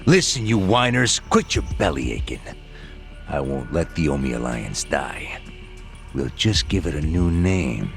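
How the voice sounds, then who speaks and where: A stern yakuza boss in a video game drama. His voice is very deep and gravelly, with slow, blunt, authoritative phrasing. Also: very animated, full character voice. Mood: commanding. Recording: faint music behind.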